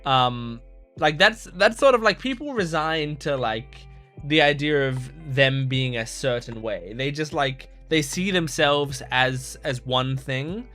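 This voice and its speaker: A man's voice: medium pitch, animated, slow, bright, forceful tone, soft background music. Earnest man rambling through a reaction. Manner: rambling, heavy use of "like" fillers and restarts; earnest, thoughtful. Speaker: anime reaction channel host. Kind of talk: casual reflective commentary.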